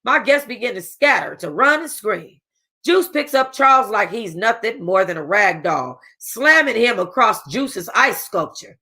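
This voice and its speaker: A woman reading a first-person novel aloud for a book club, casual and expressive, with a streetwise tone. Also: medium pitch, unhurried, breathy edge.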